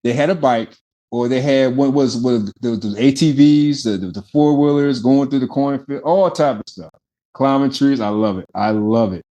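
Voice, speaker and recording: A man's voice: mid-range voice, normal speed, dark tone. A man hosting a podcast interview, enthusiastic in a casual way, often stammering and repeating his words. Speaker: podcast host.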